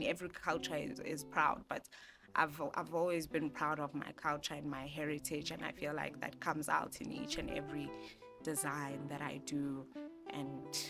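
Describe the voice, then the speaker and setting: Low-voiced South African woman in her twenties. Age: 20s. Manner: soft-spoken, reflective, with many fillers and restarts. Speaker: interviewee, graphic designer. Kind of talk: relaxed personal interview. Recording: music bed playing behind voice.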